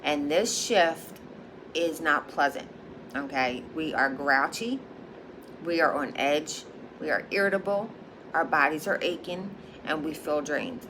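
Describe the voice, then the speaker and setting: A low-pitched woman with a Philadelphia accent, speaking emphatically and circling back to her points with a steady "okay?". Her casual monologue sits over a clearly audible background hiss. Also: measured pace. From Philadelphia, United States. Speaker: high priestess and psychic.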